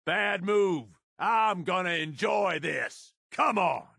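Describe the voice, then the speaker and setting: A middle-aged game raider lieutenant, crude and scheming. His voice is gravelly and unhurried, rising sharply when he barks orders in a fight. The recording is dry and close, with no room sound. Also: sits high, expressive, pushed and bright, no room sound, full character voice. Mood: combat barks. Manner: gravelly, crude and sardonic, tough-guy drawl. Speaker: companion character. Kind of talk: video game combat and companion barks.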